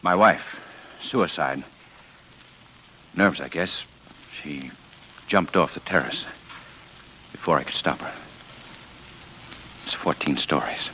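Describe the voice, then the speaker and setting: A very deep-voiced, grave husband. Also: male voice, sharp tone, heavily acted. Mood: grave, stunned. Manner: deliberate, halting, dramatic. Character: cold, menacing husband feigning grief over his wife. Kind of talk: 1940s radio acting. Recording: noisy old radio recording.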